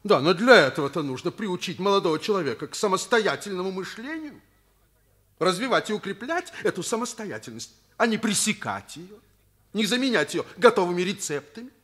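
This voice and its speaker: An actor playing a thoughtful, humane school principal, earnest and reasoning, in Soviet radio theatre. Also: male voice, high-pitched, sing-song, fairly quick, a bit bright, exaggerated voice. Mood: earnest, firm.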